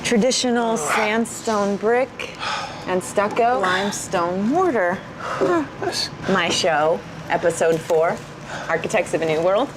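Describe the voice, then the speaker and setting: A woman's voice: medium pitch, expressive, slow, noisy background. A woman playing a confident, matter-of-fact adventurer from a video game. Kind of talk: re-enacting video game lines.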